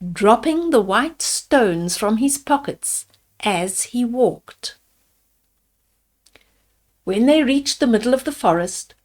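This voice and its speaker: An older woman storyteller with a fairly high voice reads a children's fairy tale very slowly. She is deliberate in her delivery, pausing to explain things to her young listeners as she goes. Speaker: storyteller reading children's picture books. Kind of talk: read-aloud bedtime fairy tale with asides to children.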